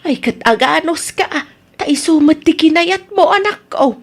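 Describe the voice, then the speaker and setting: Radio drama: stern, aggrieved wife and worried mother, high-pitched, reproachful. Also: expressive, measured pace. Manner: firm, reproachful.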